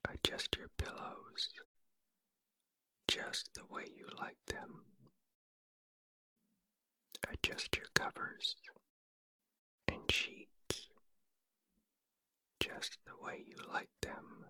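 A man hosting a sleep relaxation podcast in a breathy whisper, speaking in a gentle, soothing ASMR style. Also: drawn-out words, long pauses. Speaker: podcast host and relaxation guide.

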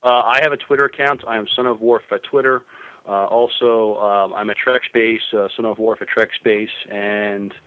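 A man co-hosting a casual science fiction podcast, speaking in a hesitant, halting way on a dull, lo-fi recording. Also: fairly low pitch, flat delivery, dry recording. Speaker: podcast co-host. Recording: dull, lo-fi voice channel.

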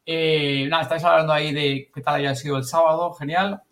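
A fast-talking man from co-hosts a podcast. His delivery is casual and colloquial, like chat among friends. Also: fairly high pitch, steady tone, a bit bright. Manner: informal, colloquial. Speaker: podcast co-host.

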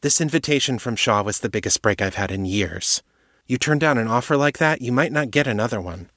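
A man's voice: medium pitch, animated, a little fast, fairly bright tone, no echo, studio quality, voice pushed up, natural voice. A man voicing a blunt, loyal woman police detective with plain, direct speech. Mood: defensive. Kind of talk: audiobook character voice.

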